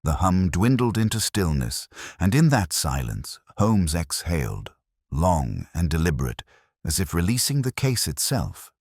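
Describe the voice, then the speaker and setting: A man narrates a detective story with solemn, measured care. His voice is extremely deep yet moves with a lively range of pitch, and the recording is close and dry. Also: no room sound. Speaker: audiobook narrator.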